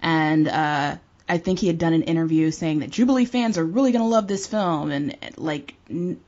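A woman's voice: low voice, moderate pace, a little mellow. A woman giving emphatic, opinionated views in a casual film discussion, as a panelist. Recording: dull, muffled lo-fi audio.

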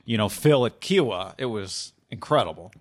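A man, co-hosting a sports podcast in a laid-back, halting way and reading plugs for the show. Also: on the low side, animated. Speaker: podcast co-host.

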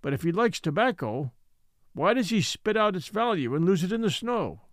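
A man's voice: sits high, sing-song, dry recording, clean studio sound. Man voicing a solemn old Native storyteller. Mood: puzzled scorn. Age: older. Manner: simple broken English, slow rhetorical questions.

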